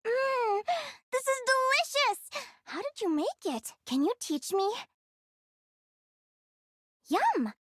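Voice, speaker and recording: A woman voicing a curious young female explorer in a video game, speaking in an earnest, expressive, youthful way. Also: very high-pitched, slow, pauses often, no echo, clean studio sound, heavily acted. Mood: cheerful. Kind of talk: English video game character voicelines.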